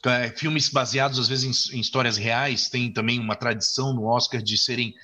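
A man's voice: medium pitch, steady tone, moderate pace, pushed and bright. A man from Brazil, a film director and author, talking in an interview and rambling with frequent restarts and fillers. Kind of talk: interview conversation. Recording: dull lo-fi audio.

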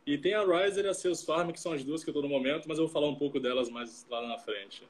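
Chatty young man, higher-pitched. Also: fast. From Salvador, Brazil. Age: 20s. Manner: relaxed, chatty, many fillers and tag questions. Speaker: startup CTO co-hosting a live stream. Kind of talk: casual live-stream chat.

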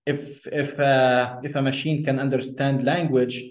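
A man from Lebanon talks through ideas as an interview guest, repeating words and restarting sentences. His voice sits in a middle pitch. The recording has a roomy echo. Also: flat delivery, measured pace. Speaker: guest engineer. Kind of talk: conversational interview. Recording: echoey room.

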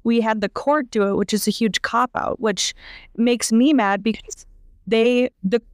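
A woman's voice: a little high, fairly even intonation, a little slow, clean tone, no echo, clean studio sound. A woman hosting a discussion podcast, speaking in a conversational, frustrated way. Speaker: podcast host.

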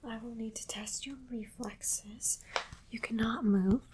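A woman playing a calm alien examiner in an ASMR roleplay, whispering softly and slowly, with clinical reassurance. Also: on the high side, noisy background, close to an everyday voice. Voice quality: breathy whisper. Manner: soft, unhurried, repetitive, clinically reassuring. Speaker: ASMR performer.

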